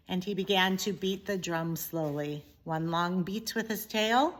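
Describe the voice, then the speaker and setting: A woman narrating a children's story expressively, with deliberate, dramatic emphasis. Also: lowish voice, a bit bright, slight background noise. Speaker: story reader, teacher.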